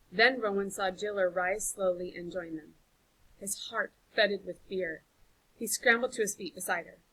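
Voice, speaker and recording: Female storybook narrator, teacherly and expressive, forceful tone, slightly raspy. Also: medium pitch, poor audio. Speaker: teacher reading aloud.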